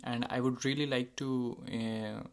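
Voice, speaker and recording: A young man whose first language is Hindi talks through his thoughts in an unscripted monologue. His pitch sits in the ordinary middle range for a man. He hesitates often, restarting sentences and searching for words. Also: steady tone, measured pace. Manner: hesitant, many fillers and self-corrections. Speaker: channel host.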